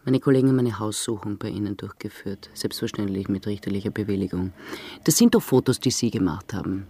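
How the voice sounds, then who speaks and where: A man's voice: lowish voice, fast, mellow, husky. A man playing a dry, formal, insistent police inspector in a radio drama. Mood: probing, stern. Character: dry, businesslike police inspector conducting an interrogation.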